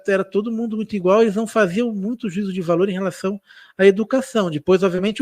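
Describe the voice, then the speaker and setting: Chatty São Paulo man, high voice. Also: no room sound, clean studio sound. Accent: São Paulo Portuguese with an Italian-tinged lilt. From Brazil. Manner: rambling, many restarts and slang fillers. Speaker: guest speaker on history. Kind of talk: casual live-stream chat.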